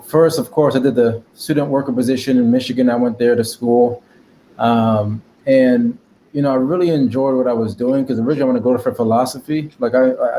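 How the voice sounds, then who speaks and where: A man's voice: medium pitch, mellow, a little hiss or hum, rough recording. A man with an American accent, telling his story casually as a podcast guest. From Connecticut, United States. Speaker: podcast guest, cybersecurity professional.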